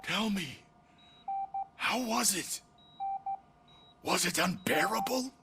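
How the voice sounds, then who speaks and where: A man playing a frail, ailing old company chief in a video game, weary, wistful and halting. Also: high voice, lively intonation, background noise, exaggerated voice. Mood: frail wonder. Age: older. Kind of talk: video game cutscene acting.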